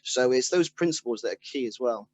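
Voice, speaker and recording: A man's voice: medium pitch, expressive, fairly quick. A man speaking as a panelist who is an engineering trainer, explaining earnestly and steadily. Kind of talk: panel discussion.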